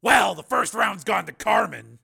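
Man acting out a loud, defiant renegade lieutenant. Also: high-pitched, sing-song, moderate pace, gravelly, husky, voice pushed up, exaggerated voice. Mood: grim defiance. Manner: forceful, clipped, demanding. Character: defiant male cyborg police lieutenant on the run, commanding, angry.

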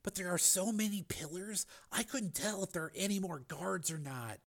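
Man doing a hushed, high, creaky boy detective. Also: moderate pace, exaggerated voice. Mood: hushed, cautious. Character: earnest, cautious teenage boy detective.

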